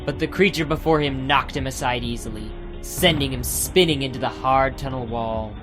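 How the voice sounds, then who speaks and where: A man's voice: medium pitch, normal speed. A man giving scripted, dramatic narration for an audiobook adventure. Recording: music under the narration.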